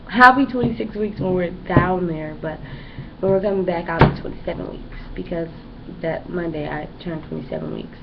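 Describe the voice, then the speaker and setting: A young woman giving a casual, rambling pregnancy update in a vlog, with lots of fillers. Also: fairly low pitch. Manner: rambling and chatty, many fillers. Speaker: pregnant vlogger. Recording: dull lo-fi home recording with background noise.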